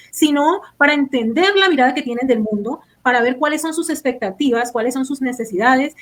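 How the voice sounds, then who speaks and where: Higher-voiced woman presenter, conversational, easy fillers. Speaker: forum presenter, teacher. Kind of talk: forum presentation.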